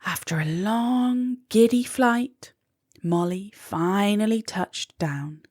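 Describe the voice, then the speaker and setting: A woman narrating a children's storybook expressively, with deliberate pauses between phrases. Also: mid-range voice, darkish tone, no room sound, studio quality.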